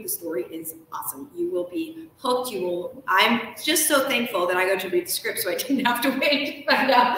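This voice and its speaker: Woman, 30s, live show host. Bright, forward voice, effusive delivery, very reverberant lounge. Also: fairly high pitch. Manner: effusive, gushing. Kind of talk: enthusiastic live event hosting. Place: large hotel lounge, indoors. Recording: echoey room, faint noise.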